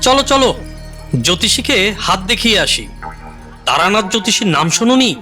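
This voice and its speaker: Guy acting pushy excitable friend in a radio play, bright high voice, really swingy. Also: moderate pace, faint music behind, heavily acted. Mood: eager, coaxing. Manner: urging, chatty, animated. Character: eager, insistent young man dragging his friend along. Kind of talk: Bengali audio drama acting.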